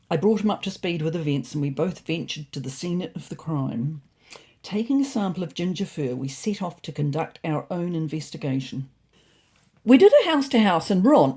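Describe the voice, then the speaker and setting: A woman's voice: on the low side, dark tone, slightly rough. A woman voicing a fussy, neurotic male ginger cat who narrates a comic storybook diary in a prim, indignant, self-important way. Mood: earnest, self-important.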